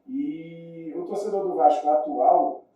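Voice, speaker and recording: A man's voice: on the high side, slow, mellow. A man with a Rio de Janeiro Brazilian accent chatting casually and telling stories in informal, slangy speech. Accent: Rio de Janeiro Brazilian Portuguese. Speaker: podcast guest. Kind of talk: casual chat, storytelling. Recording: muffled, very echoey room, distant mic.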